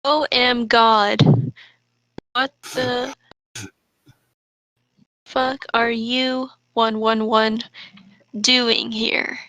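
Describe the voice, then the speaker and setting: A woman co-hosting a comic read-aloud of trashy fiction, deadpan as she sounds out every mistake as written. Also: fairly high pitch, very slow, pauses often, dry recording, poor audio. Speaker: co-host reading bad fan fiction aloud.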